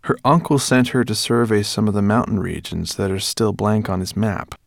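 A man's voice: on the low side, normal speed, creaky, no room sound, clean studio sound. A man reading an audiobook, voicing an earnest young human boy.

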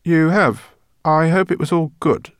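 A man's voice: a little high, measured pace, smooth, no room sound, studio quality, pitched above the performer's own voice, lightly acted. A man reading an audiobook, voicing a kindly, flustered older male professor.

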